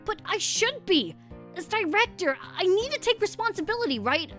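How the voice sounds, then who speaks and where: A woman's voice: very high voice, big pitch swings, normal speed, a bit bright, faint music behind, voice pushed up, exaggerated voice. Woman voicing a worried, kindly male penguin inventor. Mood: guilty, hesitant. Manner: hesitant, apologetic.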